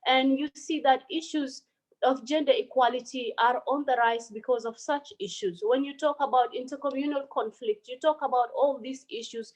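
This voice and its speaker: Female panelist, slightly high voice; earnest, filler-heavy delivery over a phone. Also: fairly even intonation, normal speed, low-quality recording. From South Sudan. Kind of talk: online panel presentation.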